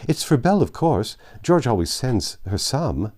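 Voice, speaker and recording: A man voicing excitable, curious young society girls in a storybook, exclaiming eagerly. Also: medium pitch, normal speed, voice pushed up, close to an everyday voice. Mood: gushing.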